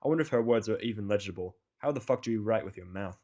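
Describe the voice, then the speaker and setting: A man's voice: lowish voice, fast talker, a little mellow, dull audio. A man reading a first-person fan fiction story as narrator, with a blunt, sardonic delivery.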